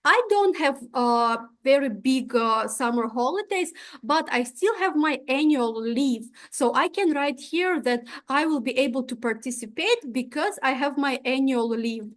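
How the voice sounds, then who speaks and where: High-voiced young woman from Tajikistan. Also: lively intonation, measured pace. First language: Russian. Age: young adult. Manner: explains step by step, frequent "uh" and "right?" checks. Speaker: presenter of an exchange program application. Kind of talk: instructional walkthrough presentation.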